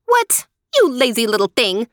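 A woman doing a shrill, bossy spoiled girl. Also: very animated, moderate pace, no echo, clean studio sound. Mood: bossy shouting. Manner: sharp, shouting, imperious.

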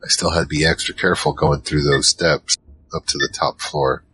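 An actor playing a giant troll, worried and soft-hearted, narrating in the first person in an audio drama. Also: male voice, very deep voice, level tone, normal speed, slight background noise, poor audio. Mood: recounting, uneasy. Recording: music behind.

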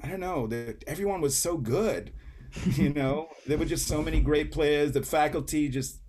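A middle-aged man from Saint Kitts talks as a guest musician in a relaxed interview. He rambles and doubles back, and he laughs often between his thoughts. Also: on the high side, moderate pace, soft background music.

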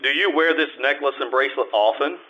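A muffled, noisy recording carries an actor as a male private eye from an old radio play. His voice is forceful and bright, with a blunt, world-weary wryness and a sardonic edge. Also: mid-range voice, fairly quick, natural voice. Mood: probing, sardonic. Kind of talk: 1950s old-time radio detective acting.

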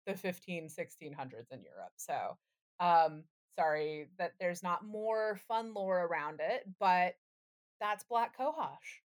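A woman co-hosting a podcast in casual, slangy chat, irreverent and freely swearing. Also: fairly low pitch. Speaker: podcast co-host.

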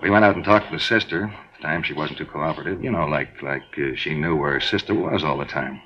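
An actor playing an easygoing detective from missing persons on a 1950s radio drama. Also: male voice, rumbling low, fast, slight background noise. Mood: offhand. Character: casual, helpful male police colleague. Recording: dull lo-fi 1950s radio broadcast.

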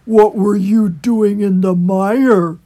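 A woman's voice: medium pitch, steady tone, warm tone. A woman voicing a kindly, chuckling old male water rat. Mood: amused. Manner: slow, amused.

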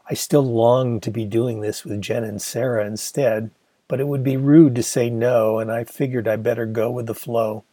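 An older man in his sixties reads his memoir aloud with a wry, easygoing storyteller's touch. His voice is mellow and slightly husky, and it sounds dry and close with no room around it. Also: medium pitch, no echo. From Michigan, USA. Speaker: author reading his own memoir. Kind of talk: audiobook narration.

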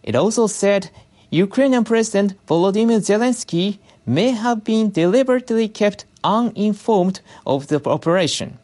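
News reader for scripted bulletin. Mid-pitched, measured, formal. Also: animated, a little mellow.

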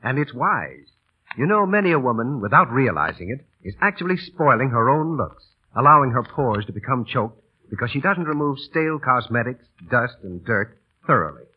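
A man announces in vintage radio advertising style. His delivery swings widely in pitch, and his voice has a husky edge. The sound is dull and aged. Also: sing-song, moderate pace. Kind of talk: 1930s radio ad read. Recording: muffled old 1930s radio recording.

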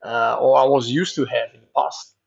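A man in his thirties from Italy with an Italian accent tinged with Flemish, answering interview questions in halting English and often restarting words. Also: medium pitch, steady tone, vocal fry, breathy edge, highs cut off. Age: 30s. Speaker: professional cyclist, guest.

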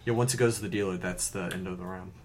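A man playing a tabletop game, throwing in quick joking remarks. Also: deep voice, steady tone, darkish tone. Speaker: player. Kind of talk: casual chat at a game table.

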